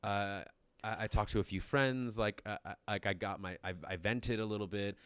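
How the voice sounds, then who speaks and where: Low-voiced man in his thirties, rambling. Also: fairly even intonation, normal speed, fairly bright tone, clean tone, no room sound. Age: 30s. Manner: rambling, self-interrupting, laughs often. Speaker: podcast co-host. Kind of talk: casual chat.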